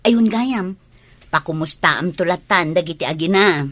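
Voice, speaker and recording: A woman's voice: fairly low pitch, normal speed, natural voice. A woman with an Ilocano Filipino accent playing a casual, chatty village acquaintance in a radio drama.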